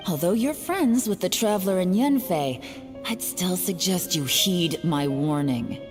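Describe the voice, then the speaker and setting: A stern, unflappable female agent in a video game. Her voice is fairly low, with a dry, taunting edge. Music plays behind her. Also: expressive, a little slow. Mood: cool warning. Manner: composed, dry, faintly taunting. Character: cool, stern female agent guarding forbidden ground. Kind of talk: anime video game voice acting.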